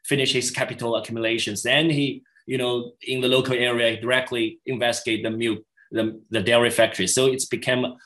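A middle-aged man, a native Chinese speaker, answering questions after a lecture in halting English with many restarts, over a video call. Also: medium pitch, level tone, a bit bright. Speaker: guest lecturer. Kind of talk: academic Q&A answers.

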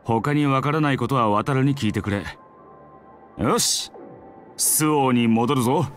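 A Japanese actor playing a brisk, commanding but easygoing military squad captain in an anime game. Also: male voice, mid-range voice, faint music behind, natural voice. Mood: brisk, instructing.

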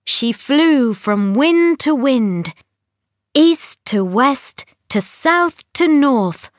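A woman reads a children's bedtime story as narrator. Her delivery is unhurried and very lively in pitch, recorded close and dry. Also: no echo.

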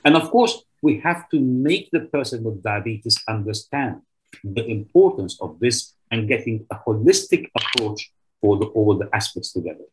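A man's voice: lowish voice, expressive, normal speed, a little hiss or hum, poor audio. An older man with an Egyptian accent, giving a formal medical lecture as a professor and stressing his key words. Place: indoors, remote video presentation. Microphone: video call.

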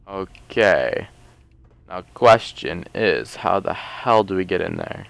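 Low, unhurried, slightly hoarse male gamer. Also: a bit bright, no echo. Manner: rambling, jokey, thinks aloud. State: hoarse, losing his voice. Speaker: let's play host. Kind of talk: casual gameplay commentary.

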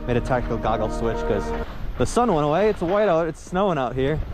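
A man's voice: on the high side, a little fast, music in the background. A young man in his twenties chatting casually to an action camera outdoors at a ski resort. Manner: relaxed, chatty, upbeat. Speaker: vlogger. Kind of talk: casual vlog narration while skiing. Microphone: action camera on chest harness.

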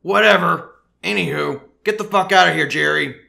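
A man voicing a crude, boastful old mad scientist grandfather in a cartoon audio drama, with a gravelly, strained, blunt delivery. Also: a little high, normal speed, bright, forceful tone, pitched above the performer's own voice, heavily acted. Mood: scornful. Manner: brash, blunt, swearing.

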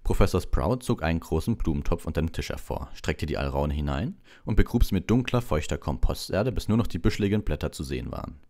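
A man narrating an audiobook in a relaxed bedtime-story style. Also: rumbling low, fast talker, hardly any pauses. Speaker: audiobook reader.

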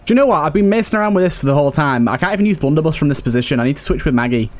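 A man's voice: fairly high pitch, lively intonation, very fast. A man giving casual, informal let's play commentary, thinking aloud with some mild swearing. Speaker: gaming video host.

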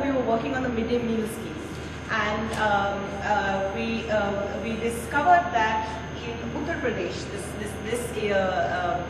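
A woman with an Indian accent gives a conference keynote, articulate and analytical, often hesitating and repeating words, recorded in a noisy, echoing hall from a distance. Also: fairly high pitch. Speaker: keynote speaker.

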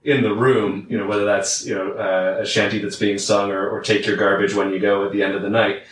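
A man's voice: on the low side, little pitch movement, fairly quick, a bit bright, slight background noise. A young man hosting a video call interview, speaking hesitantly and repeating words. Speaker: host. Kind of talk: casual interview talk. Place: home room with bookshelves. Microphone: video call, headset. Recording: roomy, distant video call.

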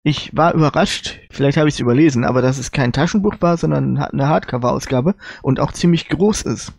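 A man with a slightly high voice talks as a podcast co-host. He is hesitant and feels his way through his opinions in casual chat, recorded dry. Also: fairly quick, creaky, darkish tone, no echo. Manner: hesitant, searching for words. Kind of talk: casual panel chat reviewing audio dramas.

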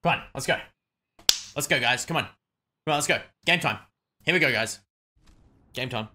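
A young adult man chatting excitedly on a gaming livestream, tossing out short repeated catchphrases. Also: mid-range voice, moderate pace, background noise. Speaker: game streamer.

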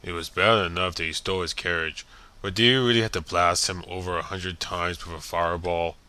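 A man's voice: low voice, fairly even intonation, brisk, bright, forceful tone, a little hiss or hum. A man voicing a dim, earnest young swordsman sidekick in a parody anime fan dub, oblivious in manner.

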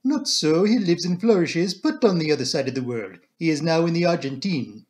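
A man voicing a fussy, solemn little foreign gentleman with a French accent and florid, formal speech. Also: a little high, lively intonation, brisk, close to an everyday voice. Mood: wistful.

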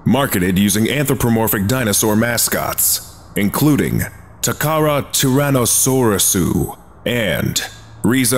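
A man narrating archive files in a flat, clinical documentary reading. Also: fairly low pitch.

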